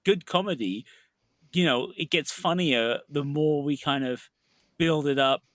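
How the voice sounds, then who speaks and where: A man's voice: a little high, measured pace, fairly bright tone, no echo. A British man co-hosting a podcast, talking in a rambling, casual way with many restarts. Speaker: podcast co-host. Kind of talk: casual film discussion.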